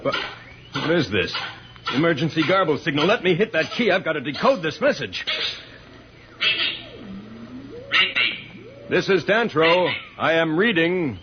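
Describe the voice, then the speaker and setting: Man as a calm alien space captain, highish voice. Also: moderate pace, close to an everyday voice. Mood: businesslike. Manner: formal, explanatory. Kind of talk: old-time radio science fiction acting. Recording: dull, noisy old radio recording.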